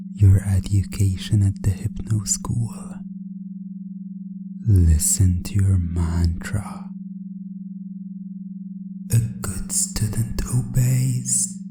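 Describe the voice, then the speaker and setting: A man speaking softly in a breathy, often whispered ASMR roleplay as a calm, controlling hypnotist teacher, deliberate and repetitive. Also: fairly low pitch. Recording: music bed under the voice. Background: music.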